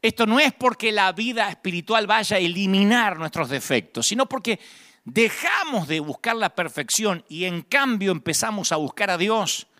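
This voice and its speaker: A man's voice: sits high, lively intonation, moderate pace, bright, forceful tone. A man preaching in a conversational evangelical style, emphatic and rhetorical, repeating key phrases for effect, with wry asides.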